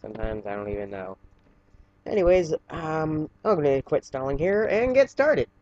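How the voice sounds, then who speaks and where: A man casually reading fan fiction aloud in a halting way, stumbling and correcting himself, with chatty asides. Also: on the high side, sing-song, darkish tone, dull audio.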